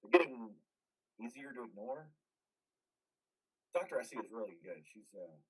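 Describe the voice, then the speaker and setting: An actor playing a traumatized, bitter and weary combat veteran in a modern stage play reading, with a husky voice. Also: male voice, mid-range voice, lively intonation, moderate pace, low-quality recording. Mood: subdued.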